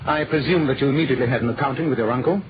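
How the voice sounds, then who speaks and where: An actor playing a brisk, commanding British gentleman detective, clipped and authoritative, in 1940s radio style. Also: mid-range voice, crowd noise, lightly acted. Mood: probing. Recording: muffled old radio recording, background murmur.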